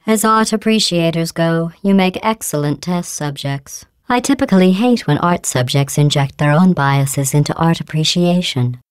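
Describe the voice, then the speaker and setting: A low, smooth female machine intelligence, as an actress plays her that sneers through politeness. The voice is cool and condescending, recorded dry and close for a game. Each barb comes out level and unhurried. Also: no echo, natural voice. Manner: dry, condescending insults delivered calmly. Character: cold sarcastic female AI overseer. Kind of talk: video game voice clips.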